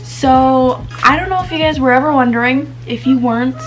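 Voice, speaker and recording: A woman's voice: high voice, normal speed, soft background music, low-quality recording. Chatty teen girl vlogger. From United States. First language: Spanish. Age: teens. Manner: chatty, many fillers like um and like. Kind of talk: casual chat to camera.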